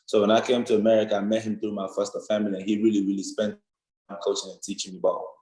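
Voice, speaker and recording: A young Nigerian man answers interview questions in an earnest, rambling way, tagging his points with "you know what I'm saying." His voice sits low, and the room around him echoes noticeably. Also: level tone, racing, dark tone. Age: young adult. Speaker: football player guest.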